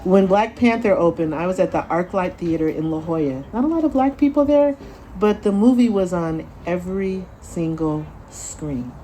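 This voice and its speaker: Low, mellow-voiced woman panelist. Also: animated, normal speed, slight background noise, poor audio. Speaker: panelist, event founder. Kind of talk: panel discussion.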